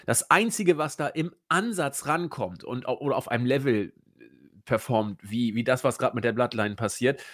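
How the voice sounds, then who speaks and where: Hoarse chatty man hosting a podcast. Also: medium pitch, normal speed, a bit bright. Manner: chatty, restarts sentences, laughs at own slips. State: hoarse with a cold. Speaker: podcast host. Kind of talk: casual podcast chat.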